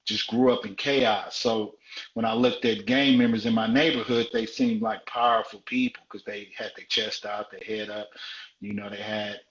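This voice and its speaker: A man in his forties from South Central Los Angeles tells his life story frankly, stacking repeated phrases for emphasis. His voice sits fairly low. The sound is dull and roomy, like a live stream picked up in an echoing space. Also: level tone, moderate pace, a bit bright. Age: 40s. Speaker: guest.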